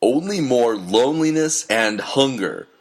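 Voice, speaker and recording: A man's voice: mid-range voice, animated, measured pace, husky. A man playing a bitter, scornful cynic who despises quitters, in an audio drama.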